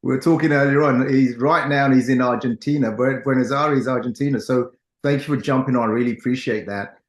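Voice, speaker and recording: A man's voice: mid-range voice, steady tone, brisk. A man hosting a business interview podcast, introducing his guest with enthusiastic, emphatic energy. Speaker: podcast host. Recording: roomy echo.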